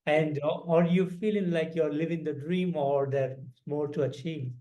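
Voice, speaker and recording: A man's voice: fairly high pitch, fairly even intonation, normal speed, dark tone. A man interviewing a musician, asking earnest questions full of praise, with hesitant fillers.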